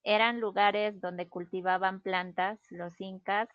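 A Peruvian woman with a Peruvian Spanish accent talks very slowly and patiently. Her voice comes through a dry, slightly muffled video call. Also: medium pitch, fairly even intonation, no echo. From Peru. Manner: slow, patient, explains with pauses. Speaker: conversation partner. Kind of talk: casual conversation.

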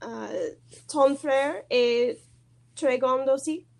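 A woman's voice: sits high, extremely slow, vocal fry. A woman with an American accent, a native English speaker and beginner in French, hesitantly and shyly searching for each word. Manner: hesitant, nervous, shy, searching for words. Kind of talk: halting conversation practice.

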